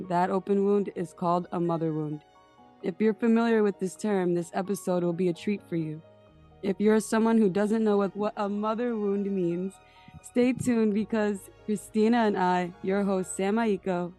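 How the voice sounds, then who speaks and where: Mellow-voiced woman podcast host. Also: medium pitch. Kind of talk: scripted introduction and interview questions.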